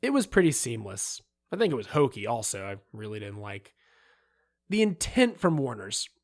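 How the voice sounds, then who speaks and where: A man's voice: medium pitch, sing-song, moderate pace, no room sound, clean studio sound. A man playing a candid film director in re-enacted interview quotes, conversational and emphatic. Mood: resigned, frank. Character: candid film director recalling his work, resigned and frank.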